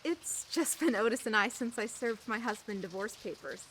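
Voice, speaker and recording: A woman's voice: a little high, animated, moderate pace, a bit bright, a little hiss or hum, low-quality recording. A woman playing a chatty, laughing divorced neighbor. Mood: candid. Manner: chatty, laughing often, self-mocking. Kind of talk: radio play acting.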